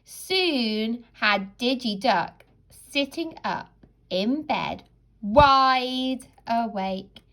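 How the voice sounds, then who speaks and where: A woman narrating a children's storybook like a teacher, in a dramatic, deliberate and expressive way. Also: a little high.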